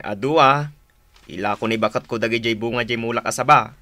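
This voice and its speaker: A man's voice: medium pitch, brisk, bright, forceful tone, no room sound, natural voice. A man acting in a radio drama as an easygoing younger village fruit seller with an Ilocano accent. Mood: friendly, bargaining.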